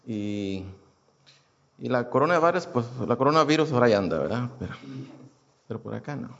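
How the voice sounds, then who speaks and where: A man's voice: medium pitch, expressive, moderate pace, vocal fry, a bit bright, muffled recording. Hesitant man telling his testimony. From Houston, United States. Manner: hesitant, many fillers and restarts. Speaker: churchgoer giving a testimony. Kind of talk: personal testimony, casual storytelling.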